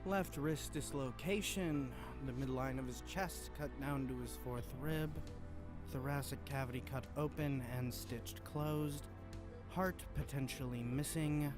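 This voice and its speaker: A man's voice: mid-range voice, unhurried, close to an everyday voice. A man voicing a cocky, irritable forensic examiner who secretly kills, in a visual novel game, sounding snide and bored. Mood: clinical, sarcastic. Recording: music playing behind the voice.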